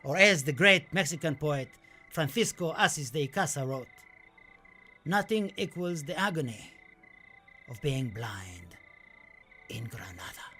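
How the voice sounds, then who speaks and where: A man acts out a passionate Spanish poet in a radio play, reflecting wistfully on life and death. The voice sits a little high for a male and has a bright, forceful edge. The delivery is theatrical and declamatory, lingering over poetic phrases, with music in the recording. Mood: nostalgic, reverent. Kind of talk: theatrical radio play monologue with recited poetry.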